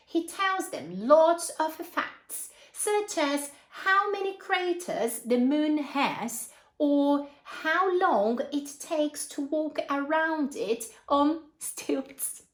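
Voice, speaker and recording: A woman's voice: high-pitched, animated, very slow, reverberant. A woman reading a picture book aloud to young children, expressively, with asides and questions addressed to her listeners.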